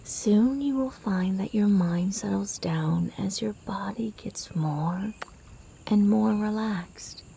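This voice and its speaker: Female meditation guide: mellow, half-whispered and breathy, gentle, soothing delivery at an unhurried pace. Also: medium pitch, slight background noise. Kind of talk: guided sleep meditation narration.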